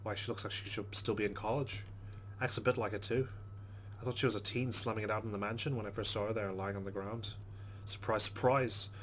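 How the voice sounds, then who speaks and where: Low-voiced man over clear background noise. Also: steady tone, a little fast. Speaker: let's play host. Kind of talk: casual game commentary and reading game text aloud.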